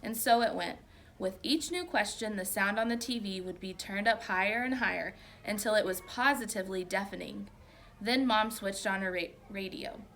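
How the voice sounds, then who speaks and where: A woman reading a children's story aloud like a teacher, with expressive, emphatic narration. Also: medium pitch, moderate pace, slight background noise.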